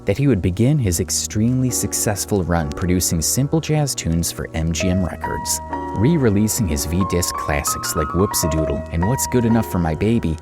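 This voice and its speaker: Very deep-voiced man narrating a documentary. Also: lively intonation, normal speed. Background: music.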